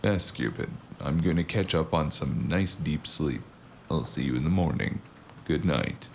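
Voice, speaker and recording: A man playing a gravelly, sardonic noir investigator. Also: rumbling low, expressive, measured pace, a little hiss or hum, full character voice. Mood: guarded, tired. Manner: sardonic, wry. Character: sardonic world-weary cyberpunk investigator, a wounded man. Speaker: narrator and lead character. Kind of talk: first-person noir audio drama narration and dialogue.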